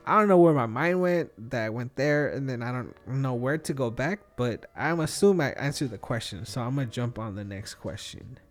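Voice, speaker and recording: Thirties dude podcasting, slangy and thinking out loud, average pitch, bit of music behind. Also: male voice, moderate pace, few pauses. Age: 30s. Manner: rambling, thinking aloud, informal slang. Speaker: podcast host. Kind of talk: casual solo podcast chat, answering questions.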